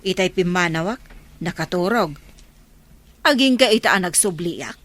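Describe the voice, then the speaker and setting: An actress with an Ilocano Filipino accent playing a brisk, bantering female village councilor in a radio comedy drama. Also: on the low side, lively intonation, fairly bright tone, dry recording. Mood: brisk, chiding.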